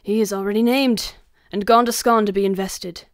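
A woman's voice: mid-range voice, fairly even intonation, normal speed, natural voice. A woman playing a grave, passionate Scottish noble in a Shakespearean radio drama. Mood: grim, wary.

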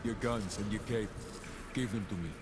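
An actor playing a blunt, emotionless killer cyborg in a video game, matter-of-fact and commanding. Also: male voice, on the low side, normal speed, heavy background noise, lightly acted. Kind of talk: video game character acting. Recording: loud music behind.

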